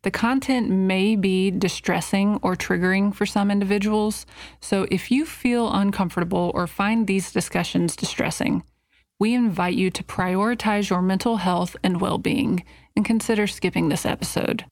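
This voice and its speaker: A woman's voice: mid-range voice, steady tone, moderate pace, darkish tone, dry recording. A woman in her thirties hosting a podcast, reading a scripted intro and then chatting with enthusiasm. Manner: upbeat, enthusiastic. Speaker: podcast host.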